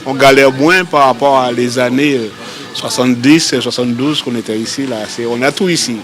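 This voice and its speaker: A man's voice: medium pitch, fairly even intonation, normal speed. An older man from the French Antilles, a customer speaking casually in a vox pop. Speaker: customer interviewed. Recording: loud music behind.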